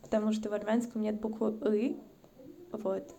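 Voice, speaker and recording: Podcast guest: woman from the North Caucasus, gravelly, mellow low tone, reflective and chatty. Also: little pitch movement, normal speed, slight background noise. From North Caucasus, Russia. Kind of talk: casual chat.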